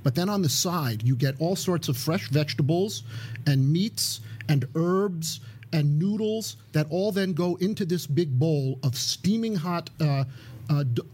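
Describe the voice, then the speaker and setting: A man chats on a podcast, eager but halting, with plenty of fillers as he gathers his thoughts. His voice is husky and sits fairly high for a man. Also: expressive, a little mellow. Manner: halting, enthusiastic rambling with many fillers. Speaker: podcast guest. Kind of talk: casual chat.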